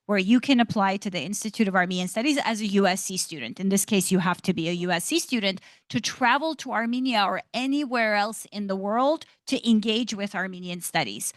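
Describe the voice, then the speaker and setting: A woman panelist addressing students with articulate enthusiasm. Her pitch sits in a middle range, and the sound is dry and close, with no room echo. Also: brisk, few pauses. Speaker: institute director, panelist. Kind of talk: panel discussion answer. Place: lecture hall panel event.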